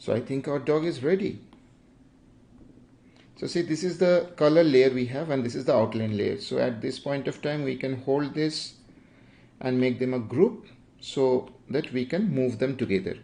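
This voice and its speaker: A young man with an Indian English accent teaching a drawing livestream, unhurried and halting, with many fillers. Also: medium pitch, vocal fry, dark tone. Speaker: art tutor.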